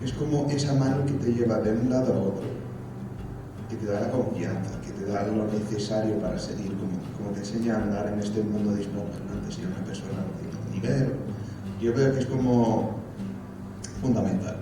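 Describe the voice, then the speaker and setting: Animated man in an echoey room. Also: on the low side, fairly quick. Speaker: student. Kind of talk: testimonial interview. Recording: echoey room from far, noisy.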